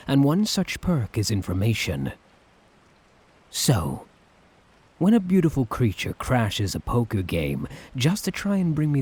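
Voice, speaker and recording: Man acting as sadistic doting dictator, low breathy voice, swooping intonation, theatrical, teasing, ASMR roleplay. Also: darkish tone, full character voice. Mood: smug. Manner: teasing, theatrical, condescendingly affectionate. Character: suave, sadistic, doting male tyrant, obsessively in love.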